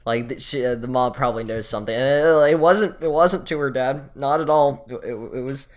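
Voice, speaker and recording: A man co-hosting a casual group chat about anime, talking in a halting, insistent way. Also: medium pitch. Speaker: podcast co-host reviewing anime.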